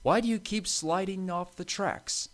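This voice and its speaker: A man's voice: fairly high pitch, big pitch swings, normal speed, pitched above the performer's own voice. A man voicing a firm but kindly railway controller who speaks formally, in a children's story. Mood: stern. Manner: formal, authoritative.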